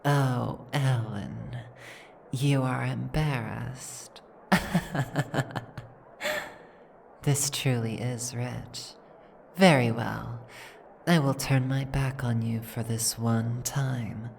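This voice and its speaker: A woman voicing a stern, imperious female dragon queen in an audio roleplay, speaking in a formal, regal, measured way. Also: a bass voice, vocal fry, a little mellow, a little hiss or hum, full character voice. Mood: amused, haughty. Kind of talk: ASMR audio roleplay acting.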